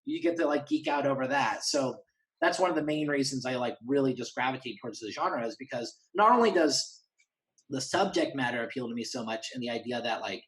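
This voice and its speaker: A man's voice: medium pitch, animated, a little fast, a bit bright, poor audio. Enthusiastic, rambling man chatting casually. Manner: enthusiastic, rambling, acts out imagined dialogue. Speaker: interview guest, horror fan and writer. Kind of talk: casual conversational chat.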